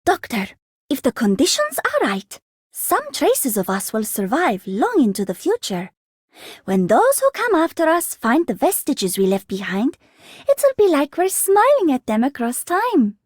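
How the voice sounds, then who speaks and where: A woman's voice: high-pitched, huge pitch swings, unhurried, no echo, studio quality, exaggerated voice. A woman voicing an earnest, hopeful, tender young woman in a video game. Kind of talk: video game character voice lines.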